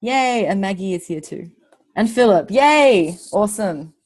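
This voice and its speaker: A woman hosting an online webinar over a computer mic, talking quickly and enthusiastically in a soothing voice. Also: mid-range voice, expressive, a little mellow. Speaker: webinar host. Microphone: computer mic on video call.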